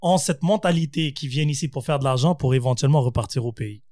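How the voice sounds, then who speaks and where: A man casually debating identity on a podcast, animated and mixing English words into his French. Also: medium pitch, quick pace, no echo, clean studio sound. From born in the United States, raised in several countries. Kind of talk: casual discussion.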